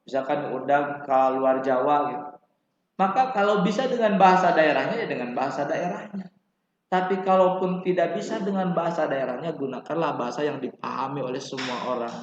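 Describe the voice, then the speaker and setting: A man with a Sundanese accent explaining a religious lesson in a relaxed, conversational way, slowing down to stress key points and slipping into Sundanese asides. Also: on the high side. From West Java, Indonesia. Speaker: religious studies teacher. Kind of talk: casual classroom explanation. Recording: echoey room, muffled lo-fi sound.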